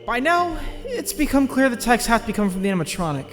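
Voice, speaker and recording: An earnest, nerdy teenage boy acted by a man speaks in a high, animated voice. He sounds anxious and expressive, telling his story in a dubbed comic, with long reverb around him and music underneath. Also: fast, heavily acted. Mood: thoughtful, uneasy. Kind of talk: dramatized comic dub, dialogue and first-person narration.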